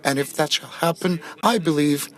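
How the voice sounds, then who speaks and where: A man giving a simultaneous interpretation of a president's answers at a press conference, measured and pausing often with fillers. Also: on the high side. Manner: measured, with frequent pauses and fillers.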